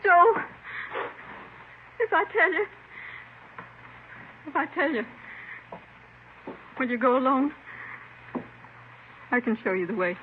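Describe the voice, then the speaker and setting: A desperate wife in a vintage radio crime drama. She pleads emotionally, close to tears, in a high, swooping voice. The recording is muffled and old. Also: big pitch swings, slow, long pauses, a little hiss or hum, exaggerated voice. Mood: desperate, pleading. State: distraught, near tears. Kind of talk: 1950s radio melodrama acting.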